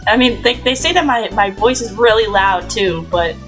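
A woman's voice: medium pitch, moderate pace, fairly bright tone, faint music behind, close to an everyday voice. A woman voicing a flustered young male lawyer in a comedic game fandub, stammering and exasperated. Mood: flustered, baffled.

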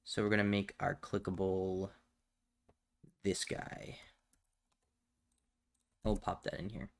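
A man with a fairly low voice narrates a software tutorial step by step. He explains each action casually and plainly, as someone talking while working at a computer. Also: flat delivery, takes it slowly, vocal fry. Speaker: tutorial host. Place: indoors at a computer, voice over screen capture.

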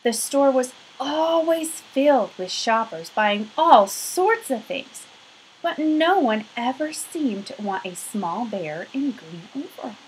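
Animated, slow-reading woman storyteller. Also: on the high side, a little hiss or hum, rough recording. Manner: animated, emphatic, stretches key words. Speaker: storybook reader for children. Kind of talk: expressive children's read-aloud. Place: small room at home.

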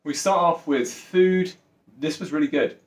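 A man's voice: fairly high pitch, a little slow. Man, 30s, casual upbeat review, item-by-item, roomy sound. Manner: upbeat, listing scores item by item. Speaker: travel vlogger.

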